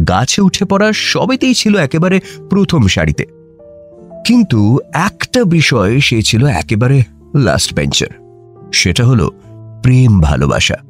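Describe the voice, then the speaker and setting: A male narrator tells a scripted story in a playful, dramatic way. His mid-pitched voice swoops widely, with music underneath. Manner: playful, addresses listeners directly.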